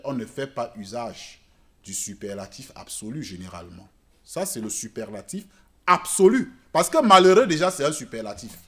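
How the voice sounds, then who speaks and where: A man preaching a church sermon in French, which is not his native language, swinging between loud emphatic peaks and quiet asides. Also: medium pitch. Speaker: pastor. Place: church service.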